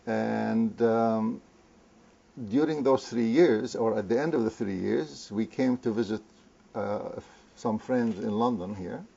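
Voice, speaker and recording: Hesitant older man from Lebanon, low gravelly voice. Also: mellow. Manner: hesitant, many pauses and fillers. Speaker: interview guest, community founder. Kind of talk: conversational interview. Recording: muffled lo-fi recording.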